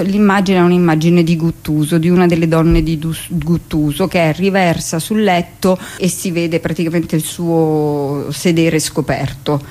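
A woman with a slightly low voice talks as a theatre guest on radio. She explains things conversationally, often interrupting herself with asides and digressions. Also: darkish tone.

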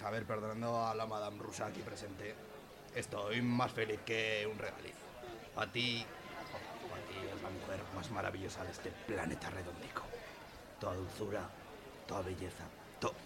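Man acting a low-voiced chummy bar regular. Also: flat delivery, moderate pace, lightly acted. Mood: smitten, cheery. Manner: stammering, colloquial, chummy. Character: lovestruck, jokey male bar regular. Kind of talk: comic radio serial acting. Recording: crowd chatter behind.

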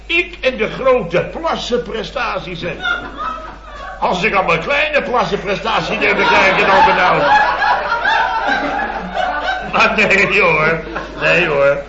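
Exasperated building boss, 1970s radio comedy, slightly high, indignant storytelling, echoing room. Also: male voice, expressive, a little slow, over a noisy crowd, heavy background noise. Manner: indignant, blustery anecdote telling with mimicked quotes. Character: blustering, exasperated male boss of a building firm. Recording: muffled old radio recording, roomy, studio audience.

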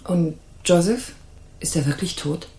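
A woman's voice: fairly low pitch, unhurried, warm tone, close to an everyday voice. An actress playing a haughty, guarded married woman with secrets in a radio crime drama, speaking in a disdainful, curt way. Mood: uneasy. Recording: roomy studio echo.

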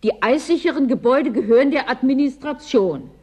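An actress in a radio drama playing a weary, reproachful woman clerk in a forgotten frontier office, with a dark, husky voice. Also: high-pitched, lively intonation, a little fast, muffled recording.

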